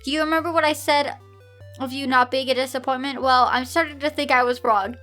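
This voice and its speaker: A woman's voice: sits high, fairly even intonation, a little fast, over music, natural voice. A woman voicing a cold, severe father and schoolteacher who scolds reproachfully in a fan fiction reading. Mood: angry, scolding.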